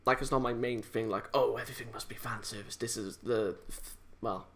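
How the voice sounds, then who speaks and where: A man giving a casual, unscripted review in a halting, hesitant, rambling way, often correcting himself as he goes. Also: medium pitch, steady tone, fairly bright tone. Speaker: amateur video game reviewer.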